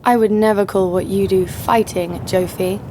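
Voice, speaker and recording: A woman playing a jaded, sardonic killer who narrates her own story in a deadpan way for an audio drama. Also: medium pitch, moderate pace, noisy background, lightly acted. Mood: cold, sardonic. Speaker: narrator and lead actor. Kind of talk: first-person scripted narration.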